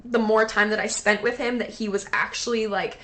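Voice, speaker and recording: A young woman with a fairly low, unfussy voice tells a dating story on a podcast. She swears freely and bursts into quoted voices. Her pitch sits in the middle for a woman. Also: steady tone, brisk, sharp tone. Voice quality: lower-pitched, not high voice. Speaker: podcast co-host. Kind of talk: casual storytelling chat.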